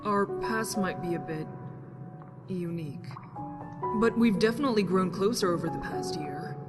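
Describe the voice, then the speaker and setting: A woman's voice: fairly low pitch, moderate pace, loud music behind the voice, close to an everyday voice. A woman playing a reflective young survivor in an amateur sci-fi drama, speaking in a subdued, hesitant manner. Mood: reserved. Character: reflective, somber young survivor confiding her past.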